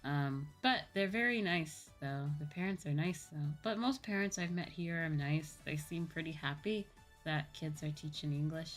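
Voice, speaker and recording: Low-pitched young American woman streamer. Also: moderate pace. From United States. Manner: rambling, informal, self-correcting. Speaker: livestreamer, virtual YouTuber. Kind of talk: casual chat with live viewers. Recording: music playing behind the voice. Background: background music.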